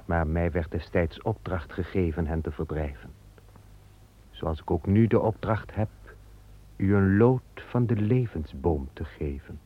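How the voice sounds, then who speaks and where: A man playing a solemn slow-speaking angel. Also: sits low, slightly rough. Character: archangel Michael, calm solemn male angel guarding Eden. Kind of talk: radio play acting. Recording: dull old radio play recording with music.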